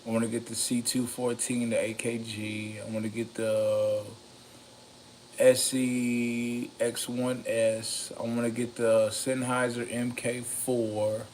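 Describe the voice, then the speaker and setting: A raspy-voiced man rambling casually about microphones, slow and meandering, with many ums and a slightly loaded, loose delivery. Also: mid-range voice, flat delivery, darkish tone, a little hiss or hum. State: a bit intoxicated. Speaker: home studio vlogger reviewing microphones. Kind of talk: rambling casual talk to camera.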